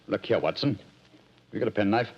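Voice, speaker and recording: An actor playing a commanding, incisive English gentleman detective in a 1940s radio drama, with a crisp, declamatory British delivery. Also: lowish voice, moderate pace. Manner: crisp, declamatory, self-assured. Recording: muffled vintage radio recording.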